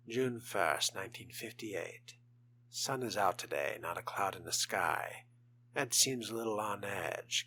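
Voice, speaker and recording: A man's voice: low voice, pushed and bright, slight background noise, low-quality recording. A man narrating a video game playthrough in character, with theatrical delivery and formal wording. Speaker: role-playing game streamer.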